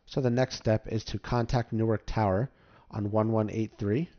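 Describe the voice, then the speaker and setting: A man with a fairly low voice walks through a game as tutorial host, casual and instructional. The recording is a little dull. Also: steady tone, moderate pace. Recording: slightly dull, muffled microphone.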